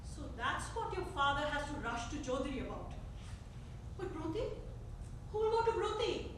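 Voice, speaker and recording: Woman doing a confused grieving mom in a stage play, halting questions, really high voice, far from mic. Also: sing-song. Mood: anguished, questioning. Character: bewildered middle-aged Bengali mother of a slain son. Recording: echoey theater, distant mic, faint noise.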